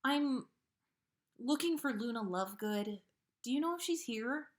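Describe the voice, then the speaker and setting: A woman's voice: on the high side, expressive, measured pace, voice pushed up. A woman voicing an awkward, impatient, bookish teenage girl in a story, hesitant and easily exasperated. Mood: polite, unsure.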